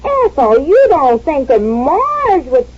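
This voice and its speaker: A woman's voice: high-pitched, big pitch swings, slow, exaggerated voice. An actress playing a scatterbrained, chatty wife who gets flustered and mangles her phrases, in 1940s radio acting style. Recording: dull lo-fi old radio recording.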